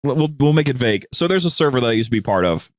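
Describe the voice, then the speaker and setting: A man's voice: mid-range voice, big pitch swings, rapid-fire, no echo. Casual rambling man telling anecdotes. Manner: rambling, informal, conversational storytelling. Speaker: podcast guest, show producer.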